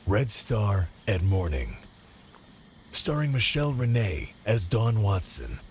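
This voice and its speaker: A man's voice: lowish voice, slight background noise. A man working as announcer, reading scripted titles and credits for an audio drama.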